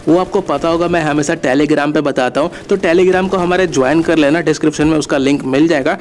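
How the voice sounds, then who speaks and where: A young adult man giving a casual gaming tutorial voice-over, addressing viewers informally and directly. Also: a little high. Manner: informal direct address with repeated conversational tags. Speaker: gaming YouTuber.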